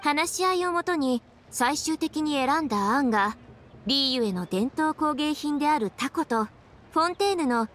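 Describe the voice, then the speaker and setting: Poised, high-voiced young woman official. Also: expressive, normal speed, a bit bright, faint music behind. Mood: composed, explanatory. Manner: composed, articulate, explanatory. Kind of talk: anime video game voice acting.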